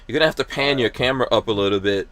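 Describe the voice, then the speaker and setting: A man's voice: mid-range voice, racing, fairly bright tone. A man from Guyana hosting a casual podcast chat in a jokey, teasing way. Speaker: podcast host.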